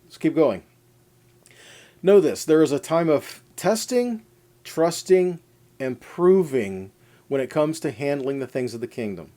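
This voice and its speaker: A man with a fairly high, very mellow voice gives an informal scripture teaching. He speaks conversationally and directly to his listeners. He drives each point home with repeated phrases and parallel lists. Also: animated, a little slow. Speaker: preacher teaching a scripture lesson. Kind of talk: informal sermon with scripture readings.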